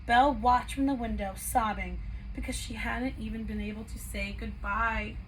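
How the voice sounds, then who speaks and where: High-voiced woman reading a children's storybook. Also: moderate pace. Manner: animated, dramatic storytelling for young children. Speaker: kindergarten prep teacher reading to children. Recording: clear background noise.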